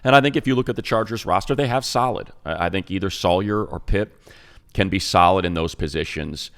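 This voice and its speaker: A man's voice: low-pitched, a little fast. A middle-aged man who is a radio play-by-play announcer, talking through football analysis in a conversational, emphatic way with many fillers. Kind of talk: casual sports podcast analysis.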